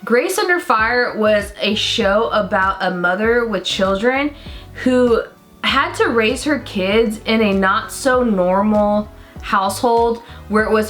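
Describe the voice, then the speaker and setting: A young woman with a deep voice for a woman talks through her makeup routine in a loose, rambling, excited way, full of fillers. Her pitch sits in a normal middle range. Soft music plays faintly behind her. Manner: rambling, enthusiastic, lots of fillers. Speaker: beauty vlogger. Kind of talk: chatty get-ready-with-me makeup talk.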